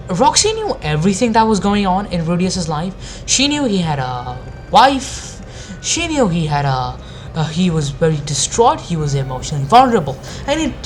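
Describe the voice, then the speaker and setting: A woman's voice: mid-range voice, slight background noise. A woman giving an opinionated video commentary, exasperated and emphatic, with plenty of swearing. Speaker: anime review video creator.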